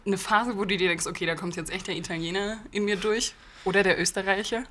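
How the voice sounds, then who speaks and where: A woman hosting a podcast, reading a scripted introduction and then chatting casually with her guest, cheerful and quick to laugh. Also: medium pitch, steady tone, fairly bright tone. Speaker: podcast host.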